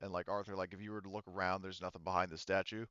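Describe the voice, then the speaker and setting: A man with a fairly low, clear voice acts as game master, explaining scenes conversationally and feeling his way through phrases. The sound is very dry and a little dull and lo-fi. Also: flat delivery, very fast, fairly bright tone, dry recording. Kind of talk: improvised tabletop game narration.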